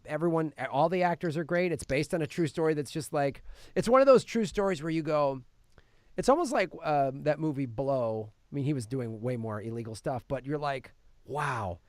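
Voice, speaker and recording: A man's voice: a little high, normal speed, no room sound, clean studio sound. A man hosting a podcast, giving a casual film review and acting out quotes as he retells things. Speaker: podcast host reviewing films. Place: podcast studio.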